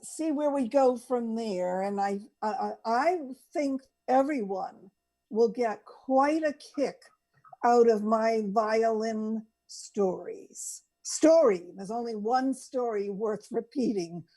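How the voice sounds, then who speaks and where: A woman co-hosting a casual talk, telling personal stories in a halting, deliberate way. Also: fairly high pitch, dark tone, low-quality recording. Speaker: co-host.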